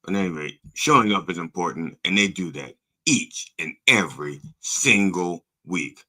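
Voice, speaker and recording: A hoarse man hosting a comedy podcast as a mock reverend, rambling, profane and interrupting himself. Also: low-pitched, animated, moderate pace, bright, forceful tone, poor audio.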